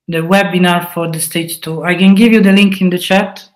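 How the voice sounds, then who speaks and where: A man with an Italian accent, a native Italian speaker, hosting a webinar and walking through a coding project, pausing often to think aloud. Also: high voice, fairly even intonation. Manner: halting, thinks aloud with fillers. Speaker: webinar presenter. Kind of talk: live coding walkthrough.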